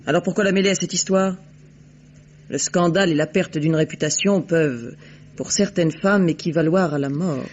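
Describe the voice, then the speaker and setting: An actress playing a haughty, shrewd, mercenary society woman in a vintage French radio drama, with poised, disdainful, deliberate delivery. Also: low-pitched, a little mellow, lightly acted. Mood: cold, defiant. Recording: dull, noisy old radio recording.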